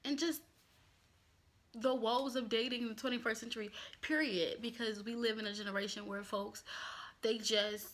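A woman's voice: on the high side, normal speed. A woman in her twenties from Pennsylvania, chatting candidly to camera in a casual vlog from a small home office. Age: 20s. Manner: chatty, candid, expressive hand gestures. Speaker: YouTuber.

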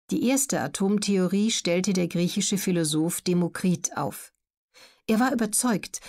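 A woman reading scripted documentary narration for an audiobook in a measured, clearly enunciated way. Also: on the low side, a little mellow.

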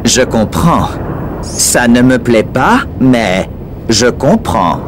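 A man voicing a calm, formal, precise small male robot in a dubbed video game. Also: medium pitch, very slow, background noise.

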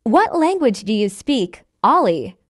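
Slow, lively high-pitched woman. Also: no echo, studio quality. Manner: carefully enunciated, repeats each line. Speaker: dialogue reader for English learners. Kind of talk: slow scripted dialogue reading.

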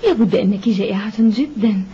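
A woman's voice: mid-range voice, fast talker, warm tone, husky. An actress playing a kindly, motherly village woman in a dubbed cartoon, firm and caring. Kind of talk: dubbed cartoon acting in formal Arabic. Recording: muffled old cartoon soundtrack with background noise.

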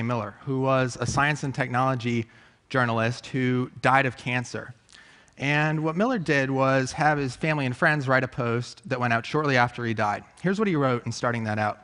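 A man's voice: mid-range voice, a bit bright. A man giving a reflective talk on a theatre stage, speaking through a headset mic. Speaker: conference speaker.

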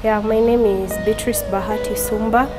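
A young woman, giving a halting personal testimony as an interview guest in a studio, talking through a lapel mic. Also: mid-range voice, steady tone, big-room sound, over loud music. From Tanzania. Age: young adult. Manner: halting, with frequent restarts. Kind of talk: personal testimony, mixing Swahili and English. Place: indoor studio.

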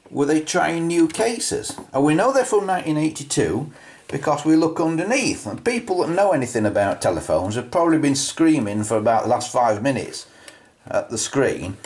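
A British man giving an unscripted hands-on show-and-tell, musing as he goes and posing rhetorical questions. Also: on the high side. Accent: British English. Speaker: presenter of a vintage telephone video.

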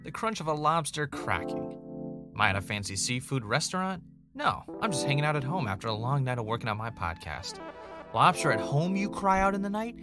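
An actor playing an obsessive, dry-witted middle-aged podcaster in a scripted audio drama. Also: male voice, on the high side, big pitch swings, fairly quick, music in the background. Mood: salesy ad read. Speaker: podcast host.

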